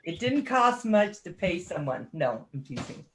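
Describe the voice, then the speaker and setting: A woman chatting casually on a livestream, talkative and quick to tease herself. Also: fairly low pitch, big pitch swings. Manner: chatty, self-deprecating and teasing.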